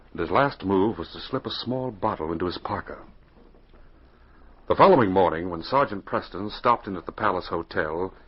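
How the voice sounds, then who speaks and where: A man's voice: low voice, husky. A man narrating an adventure serial in dramatic, scripted 1940s radio style, on a muffled, noisy old recording.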